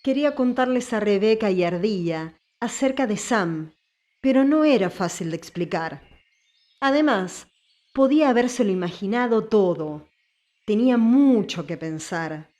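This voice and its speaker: A woman's voice: medium pitch, lively intonation, measured pace, darkish tone. A woman reading scripted story narration as an audiobook narrator.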